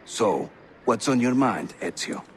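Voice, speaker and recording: An actor playing a jovial, witty Turkish assassin leader who guides newcomers, with a Turkish accent and a teasing, theatrical delivery in a video game. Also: male voice, mid-range voice, lively intonation, a little slow, a little hiss or hum, natural voice. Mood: hearty, teasing. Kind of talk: video game character acting. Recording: loud music behind the voice.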